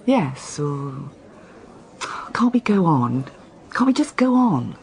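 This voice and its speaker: A keen woman detective in a radio drama. Her voice sits on the low side and swings freely in pitch. She is impatient, quick-witted and always challenging. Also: big pitch swings, a little mellow, heavily acted. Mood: eager, reasoning. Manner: eager, challenging, argumentative.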